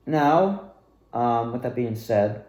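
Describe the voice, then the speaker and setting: A young man talks casually in an echoey room, his voice at an ordinary male pitch. He rambles through his story in an animated way, doubling back and repeating words for emphasis. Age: young adult. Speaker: student actor recounting past performances.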